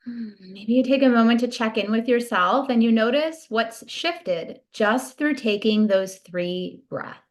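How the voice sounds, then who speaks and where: A woman leading a guided body scan meditation in a soothing, gentle, unhurried manner. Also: on the high side.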